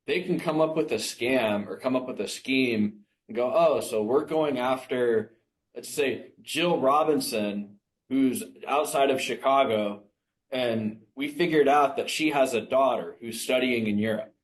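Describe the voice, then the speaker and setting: A man's voice: mid-range voice, a little slow, poor audio. Earnest man warning listeners. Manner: earnest, warning tone, acts out scammer dialogue. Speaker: podcast co-host. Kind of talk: casual podcast conversation.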